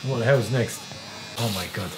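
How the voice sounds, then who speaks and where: A man's voice: mid-range voice, moderate pace. Wry man in a noisy barbershop. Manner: wry, exclaiming and swearing through the discomfort. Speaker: vlogger getting a barber treatment. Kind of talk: casual travel vlog chat. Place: small indoor barbershop. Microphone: handheld camera held by a companion. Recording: loud background noise.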